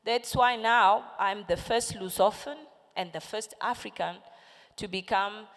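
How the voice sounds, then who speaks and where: A woman's voice: mid-range voice, measured pace. A woman in her forties whose first language is Portuguese, giving an impassioned, rallying talk as a panelist, calling out questions to a conference audience. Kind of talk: motivational stage talk. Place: large conference hall with audience. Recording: echoey conference hall.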